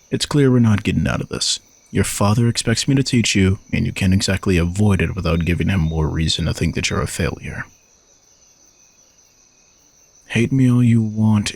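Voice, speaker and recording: Man acting low, gravelly arrogant knight. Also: no room sound. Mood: resigned, bossy. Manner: teasing, dry, exasperated sighs, condescending. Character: arrogant sardonic male knight turned reluctant royal bodyguard. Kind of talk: romantic audio roleplay addressed to the listener.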